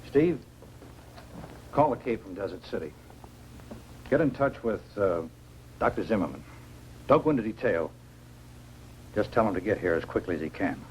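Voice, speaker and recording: An actor playing a grave, authoritative scientist in charge, with terse delivery in 1950s science fiction film style. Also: male voice, on the low side, very animated, normal speed, long pauses, darkish tone. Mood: commanding. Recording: noisy old 1950s film soundtrack.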